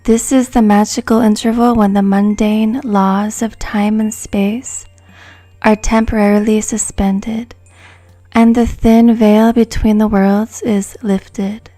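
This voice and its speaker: A woman giving a soft-spoken, hushed and soothing ASMR narration from a script. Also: mid-range voice, level tone, soft background music. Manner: hushed, slow, soothing delivery.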